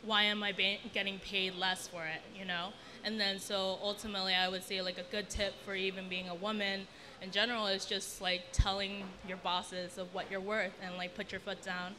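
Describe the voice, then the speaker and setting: Bright-voiced, irreverent young woman on a panel. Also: mid-range voice, flat delivery, normal speed. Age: young adult. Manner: candid, irreverent, sweary, breaks into laughter. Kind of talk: casual storytelling on a panel. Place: indoor event space with brick wall. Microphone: handheld event microphone.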